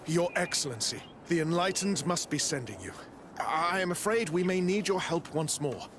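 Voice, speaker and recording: A man plays a pious, deferential cleric in a video game. His voice is somewhat high and worried, with clearly audible background ambience. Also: expressive, moderate pace, noisy background, lightly acted. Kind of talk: video game cutscene dialogue.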